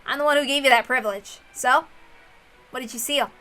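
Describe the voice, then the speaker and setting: A woman's voice: high-pitched, a little fast, bright, forceful tone. A woman voicing a wary, sardonic man, a proud rival in a visual novel reading. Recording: music under the voice.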